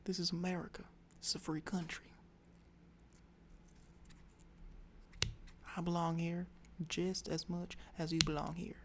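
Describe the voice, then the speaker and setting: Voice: medium pitch, little pitch movement, moderate pace, a little hiss or hum, lightly acted. A whispering storyteller voicing a little neighbor boy who is cheeky at first and then friendly. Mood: defiant.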